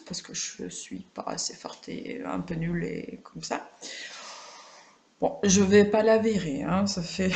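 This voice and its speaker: A woman talks off the cuff to her vlog viewers. Her voice sits a little low for a woman and crackles with fry. She is reflective and gently self-deprecating, searching for words as she goes. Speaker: craft vlogger. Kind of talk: casual, unscripted personal vlog chat.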